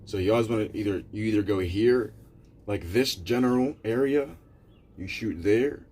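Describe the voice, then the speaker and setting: A man's voice: lowish voice, moderate pace, darkish tone, slightly rough. A man live streaming, chatting casually with viewers in a rambling way, repeating himself and calling them "bro".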